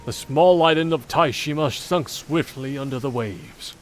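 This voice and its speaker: A man voicing a game character who recounts an old legend in a measured, formal, theatrical way. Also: mid-range voice, natural voice. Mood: grave, dramatic. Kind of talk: dramatic scripted visual novel storytelling. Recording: game audio with background music.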